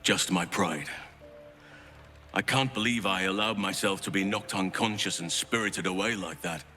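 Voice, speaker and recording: A man's voice: deep voice, normal speed, sharp tone. An actor voicing a formal, dignified male warrior companion, over game music.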